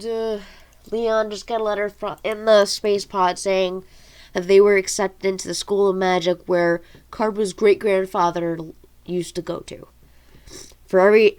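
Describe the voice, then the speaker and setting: A woman with a mid-pitched voice and a stuffed-up nose reads a fantasy story aloud. She is an amateur audiobook narrator, informal in her delivery, and she trips over words here and there. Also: smooth. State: congested nose from allergies. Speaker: amateur audiobook reader and author.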